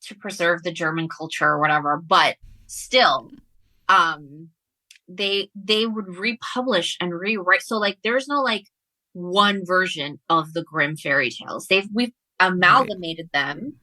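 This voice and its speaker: A woman's voice: fairly low pitch, a little slow, bright, forceful tone, dry recording, poor audio. A woman speaking as a guest author in a casual discussion about books.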